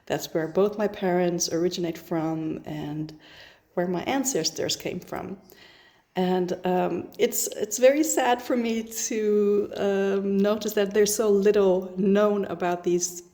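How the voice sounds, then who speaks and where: Soft-toned woman, reflective and searching. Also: lowish voice. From Iran, raised in the Netherlands. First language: Dutch. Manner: thoughtful, with many restarts and fillers. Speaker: author. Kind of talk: casual self-introduction to camera.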